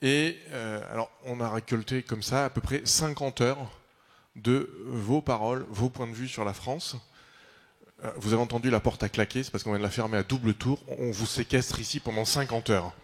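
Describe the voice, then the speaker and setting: A man hosting a debate who opens in a relaxed, joking way. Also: mid-range voice. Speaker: host.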